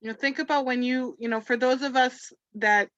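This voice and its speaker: A woman's voice: high voice, fairly even intonation, normal speed, fairly bright tone, no echo, lo-fi sound. A woman from Canada whose first language is French, hosting a webinar for teachers and talking casually with lots of "you know" fillers. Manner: chatty, rambling, many "you know" fillers. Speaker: webinar host.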